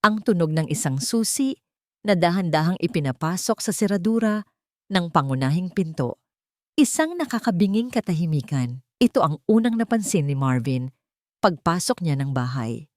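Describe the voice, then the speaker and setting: A woman reading a scripted dramatic story in an emotive, deliberate way. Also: on the low side, sing-song, dry recording, clean studio sound. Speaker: story narrator.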